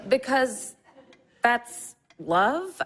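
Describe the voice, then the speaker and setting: An actress playing a confused, hurt adult daughter in a naturalistic audio drama, earnest, questioning and exasperated. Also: female voice, a little high, big pitch swings, extremely slow, heavy background noise, heavily acted.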